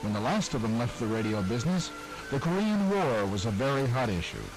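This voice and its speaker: A man's voice: mid-range voice, a little fast, music in the background, rough recording. A man with an American accent delivering scripted documentary narration as the narrator. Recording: rain noise over old broadcast audio. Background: steady rain.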